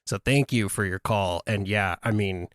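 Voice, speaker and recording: A man's voice: on the low side, moderate pace, fairly bright tone, no echo, clean studio sound. A man co-hosting a podcast, talking earnestly and reflectively, thinking aloud as he goes. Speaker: podcast co-host. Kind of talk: casual podcast discussion.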